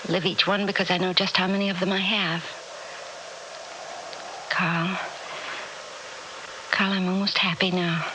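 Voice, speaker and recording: An actress in a 1960s radio drama playing a fervent woman who has found joy in knowing her days are numbered, speaking in a halting, breathy, impassioned way. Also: on the low side, close to an everyday voice. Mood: elated, urgent. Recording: noisy old radio drama recording.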